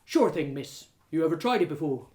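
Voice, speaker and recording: A woman's voice: deep voice, expressive, a little fast, mellow, pitched below the performer's own voice. A woman voicing a portly, bearded male barkeep in a fantasy storybook, folksy and welcoming. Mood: hearty. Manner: folksy, hearty.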